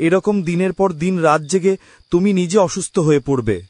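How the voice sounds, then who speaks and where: A man's voice: high-pitched, brisk, darkish tone, no echo, close to an everyday voice. A man playing a burdened, sorrowful husband and father in a melodramatic cartoon. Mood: sorrowful, consoling.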